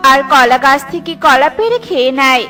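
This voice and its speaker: A woman narrates a children's cartoon story from a script. Her voice is high, and music runs behind her. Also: expressive, brisk, bright, forceful tone.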